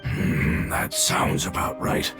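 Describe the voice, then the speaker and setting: A man voicing an ancient, weary, loyal spirit creature in a video game, with a gravelly, solemn, halting delivery. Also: close to an everyday voice. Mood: solemn, resigned. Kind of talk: dramatic video game voice acting. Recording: loud music behind the voice.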